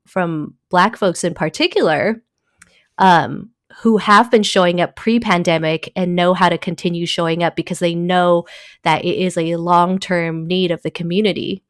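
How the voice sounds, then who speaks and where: A woman from Taiwan, a guest in a casual conversation, talking thoughtfully with many pauses, fillers and small laughs. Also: fairly low pitch, no room sound, studio quality.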